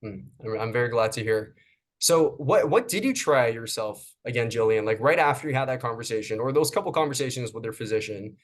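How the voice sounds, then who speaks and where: A man, a fitness coach, hosting a relaxed interview, speaking in a friendly, encouraging way with frequent fillers and restarts. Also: medium pitch, brisk. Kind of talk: casual interview.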